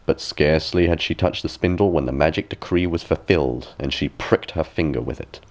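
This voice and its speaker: A man narrating a bedtime fairy tale as a storyteller, with expressive, measured and deliberate phrasing. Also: very deep voice. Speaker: storyteller, audiobook reader.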